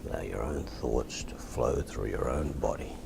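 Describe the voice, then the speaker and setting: A low, deep Australian male voice with the calm of a working hypnotist. He speaks softly and deliberately, repeating himself as he instructs. Clear background noise sits behind him. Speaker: hypnotist teaching and demonstrating.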